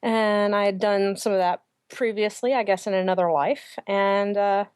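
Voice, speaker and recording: A woman's voice: mid-range voice, fairly even intonation, moderate pace, a little mellow, clean tone. A woman from Atlanta with a Southern US accent, a fifth-grade teacher talking in an easygoing way as an interview guest. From Atlanta, Deep South US.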